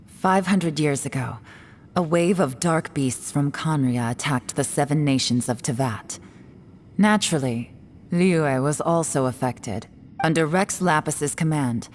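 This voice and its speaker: A woman playing a shrewd, guarded woman in a video game, speaking in a measured, serious and deliberate way. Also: deep voice, a little hiss or hum. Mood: grave, confiding. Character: composed secretive adult woman, shrewd and guarded.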